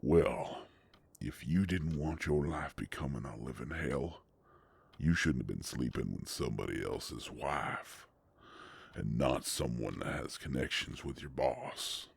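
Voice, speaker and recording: A man acts out a gruff werewolf private eye in an audio drama. His voice is extremely low and full of gravel, and he takes his time with every word. He comes across as a protective, sardonic boss, menacing in a folksy tough-guy way. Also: no room sound, heavily acted. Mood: growling threat. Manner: slow, drawling, threatening, folksy tough-guy talk. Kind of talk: dramatic roleplay audio monologue.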